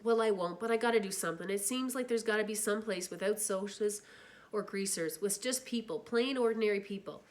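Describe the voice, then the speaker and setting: A woman voicing a quiet, gentle teenage boy. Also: mid-range voice, brisk, close to an everyday voice. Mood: weary. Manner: soft, reassuring. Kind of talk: reading a novel aloud.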